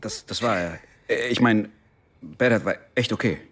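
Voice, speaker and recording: An actor playing a jittery, frightened young man in a radio drama, stammering and repeating himself in a husky voice. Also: lowish voice, normal speed, a bit bright. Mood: nervous, shaken. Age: young adult.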